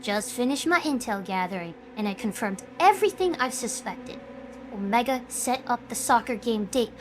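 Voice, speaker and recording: A woman's voice: on the high side, lively intonation, moderate pace, natural voice. A woman acting out a troubled young streamer and her scheming alter ego in a scripted, emotional drama. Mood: vengeful, scheming. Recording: noisy background ambience. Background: ambient noise.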